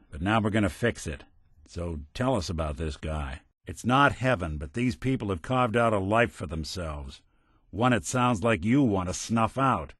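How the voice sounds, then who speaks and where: A man's voice: lowish voice, a bit bright, dry recording. A man playing a world-weary, middle-aged android detective in a video game, with an American accent and a wry, hard-boiled way of talking. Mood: earnest, wry. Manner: wry, dry, old-fashioned hard-boiled slang. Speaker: companion character in a video game.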